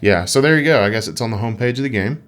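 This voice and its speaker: A man's voice: lowish voice, animated, very fast, a bit bright. Man chatting casually as a podcast co-host. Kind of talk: casual conversational podcast chat.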